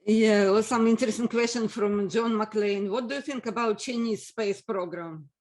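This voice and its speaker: A man's voice: high voice, steady tone, darkish tone. Hesitant man asking questions. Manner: hesitant, halting questions with many fillers. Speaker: interviewer, host. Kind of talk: casual interview questions.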